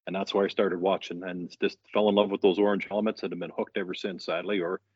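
A man's voice: deep voice, flat delivery, quick pace, slightly rough, no echo. An older man from central Canada, a guest on a podcast, telling his story in an easygoing, casual way. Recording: dull dry lo-fi audio.

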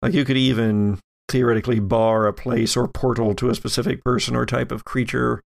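A man's voice: mid-range voice, flat delivery, fairly quick, creaky. A man running a tabletop roleplaying game as game master, explaining things conversationally.

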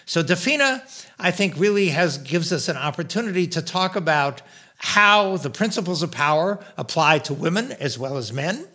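A man's voice: on the high side, animated, normal speed, pushed and bright. An older man hosting a podcast, introducing his guest and drawing lessons from her story in a professorial way. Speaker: podcast host. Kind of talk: podcast interview.

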